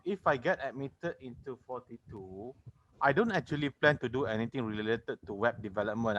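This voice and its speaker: A man's voice: medium pitch, fairly bright tone, muffled recording. A man asking a question on an online townhall, hesitant at first and then direct. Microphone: video call.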